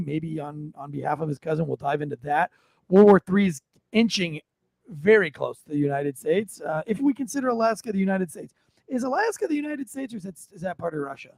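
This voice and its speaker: A man's voice: fairly high pitch, vocal fry, a little mellow, no echo. A man hosting a podcast, chatting casually about the news in a blunt, irreverent, slangy way. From Florida. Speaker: podcast host.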